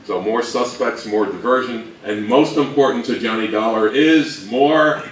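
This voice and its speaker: A man giving an informal, halting talk on history as a co-presenter, thinking aloud as he goes. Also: mid-range voice. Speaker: co-presenter at a fan convention talk. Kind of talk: informal lecture on old radio history. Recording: roomy, distant mic with faint noise.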